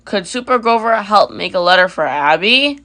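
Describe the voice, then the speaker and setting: A small, earnest storybook monster read by a woman, childlike and talking about himself by name. The tone is bright, the pitch middling. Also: expressive, moderate pace.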